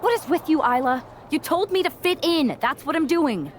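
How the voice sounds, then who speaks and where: A perky young wannabe witch in a wrestling game. Her voice is high and swoops widely, with a pleading, theatrical manner. Some noise sits behind her. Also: expressive, normal speed. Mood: indignant, determined. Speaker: voice actor in a video game cutscene. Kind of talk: animated video game acting.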